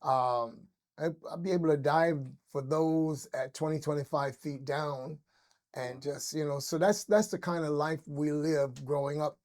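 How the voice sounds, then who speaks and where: A man's voice: a little high, steady tone, measured pace, darkish tone. An older man from Barbados, an interview guest telling stories in a loose, rambling way with many fillers. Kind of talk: casual interview storytelling.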